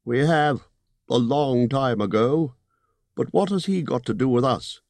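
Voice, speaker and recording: A man voicing a wise male elf king who speaks in a formal, measured way. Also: medium pitch, expressive, creaky, darkish tone, no room sound, voice pushed up. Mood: stately.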